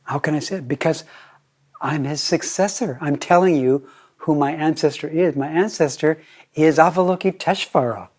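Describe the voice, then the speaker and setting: Older guy zen teacher talking to his group, playful, slow, keeps repeating himself. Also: fairly high pitch, darkish tone, breathy edge. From United States. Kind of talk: dharma talk.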